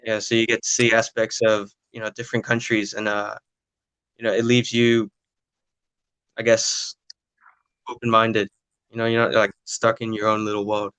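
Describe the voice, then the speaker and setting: Hesitant nineteen-year-old guy, crisp dry voice. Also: lowish voice, monotone, normal speed, long pauses, a bit bright, no echo, low-quality recording. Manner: hesitant, many filler sounds. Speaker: podcast guest, young footballer. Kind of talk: casual interview answers.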